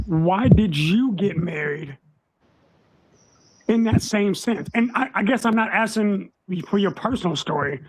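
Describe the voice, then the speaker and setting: High-voiced man asking probing questions. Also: lively intonation, a little slow, dry recording, rough recording. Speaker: panelist. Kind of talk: casual panel discussion.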